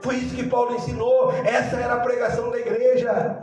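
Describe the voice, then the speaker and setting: High-pitched Brazilian preacher man, very echoey. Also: flat delivery, brisk, darkish tone, recorded from a distance, faint music behind. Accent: Brazilian Portuguese. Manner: fervent, repetitive praise interjections, acts out dialogue. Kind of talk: Pentecostal sermon with storytelling.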